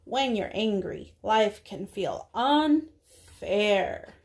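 A young woman, a preschool teacher, speaking to small children in a deliberate read-aloud style with drawn-out words. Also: mid-range voice, expressive, a little mellow. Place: small indoor room.

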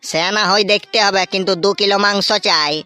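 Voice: medium pitch, fairly even intonation, brisk, bright, forceful tone, clean tone, lightly acted. A performer voicing a nagging old village grandmother in a comedy cartoon, with a rural West Bengal accent. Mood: insistent. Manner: nagging, defensive. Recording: music behind the voice.

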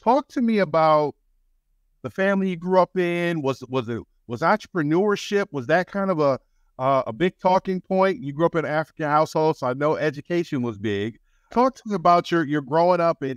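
A man's voice: on the high side, animated, brisk, smooth, no echo. A man in his thirties hosting a podcast, asking questions in a conversational interview style. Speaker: podcast host. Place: indoor room, video call.

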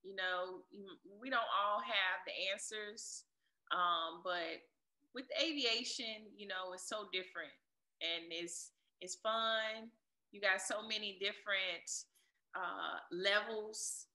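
A woman's voice: mid-range voice, pushed and bright. A woman answering casually as an interview guest, talking in an encouraging, motivational way. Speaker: interview guest, air traffic controller and DJ. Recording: roomy, echoey sound.

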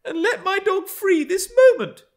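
Imperious, indignant rich little girl performed by a man, shrill-high storybook role, swooping and bossy. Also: wildly swooping pitch, a little slow, heavily acted. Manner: haughty, demanding, exclamatory. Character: spoiled, imperious, indignant young gentry girl.

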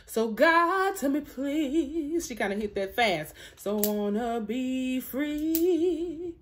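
A young woman with a deep alto voice practicing a song in her bedroom, singing along to a track and chatting to her viewers between lines. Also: expressive, slow pace. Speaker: vlogger learning a song. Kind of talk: casual singing practice vlog. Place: small bedroom indoors. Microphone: phone camera held close.

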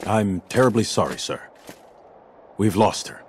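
A man voicing a brisk, good-natured soldier captain in video game acting. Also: on the low side, animated, a little hiss or hum. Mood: dutiful.

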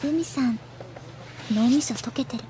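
An actress playing a sharp, polite teenage schoolgirl in an anime audio drama, matter-of-fact and a little tart. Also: high-pitched, measured pace. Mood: dry.

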